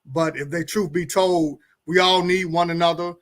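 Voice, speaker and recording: A middle-aged man with a fairly high voice talks earnestly in a talk-show conversation. He often doubles back on words as he works toward his point. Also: steady tone, normal speed, fairly bright tone. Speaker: guest pastor and co-host.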